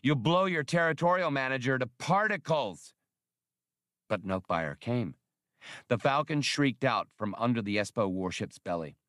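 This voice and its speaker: A man's voice: mid-range voice, fairly bright tone, no room sound, clean studio sound. A man reading an adventure novel as a dramatic scripted audiobook narration.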